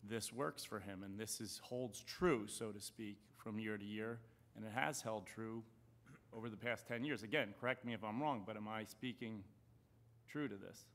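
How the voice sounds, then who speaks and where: A man explaining his view as a council member at a meeting, deliberate and careful in how he puts it. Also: fairly low pitch, fairly bright tone. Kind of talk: measured discussion at a public budget meeting. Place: council chamber.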